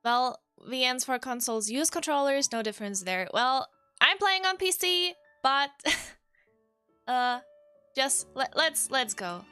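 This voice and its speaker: Bright high-voiced woman, unhurried game streamer. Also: expressive, clean tone, no echo. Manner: hesitant, self-interrupting, soft exclamations. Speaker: VTuber streamer. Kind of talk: casual game livestream chat with read-aloud visual novel text. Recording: music playing behind the voice. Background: soft piano music.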